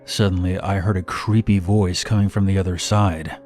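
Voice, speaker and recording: Low-voiced man narrating horror. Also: fairly even intonation, normal speed, darkish tone. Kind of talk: scripted first-person horror story narration. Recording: music bed behind narration. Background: background music.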